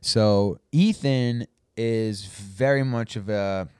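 A man's voice: lowish voice, slow pace, darkish tone, smooth, no echo, studio quality. A man co-hosting a podcast, rambling in casual, profane chat with frequent restarts. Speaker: podcast co-host.

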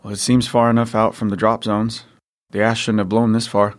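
An actor playing a weary, gruff father surviving after the end of the world, talking in short clipped lines. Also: lowish voice, flat delivery, fairly quick, close to an everyday voice. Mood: tense calm. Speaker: father character John.

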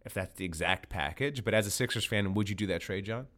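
A man's voice: lowish voice, fast talker, a bit bright. A man hosting a casual sports podcast, talking in a bantering, teasing way. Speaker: podcast host.

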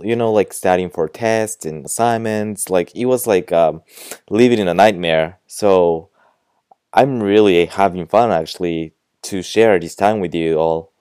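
A young man with a Japanese accent, whose first language is Japanese, hosts a casual podcast lesson in English. His voice is low and smooth, recorded close and dry with no room sound. He rambles in a friendly way, with many "like"s and "you know"s. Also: a little slow, darkish tone, no room sound. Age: young adult. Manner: chatty, fills speech with "like" and "you know". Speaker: podcast host and language teacher.

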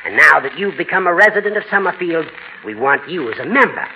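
An actor playing a formal, businesslike older judge in a 1940s radio comedy. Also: male voice, on the high side, big pitch swings, fast talker, sharp tone, full character voice. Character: brisk, formal older judge, civic-minded. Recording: dull, hissy old radio broadcast.

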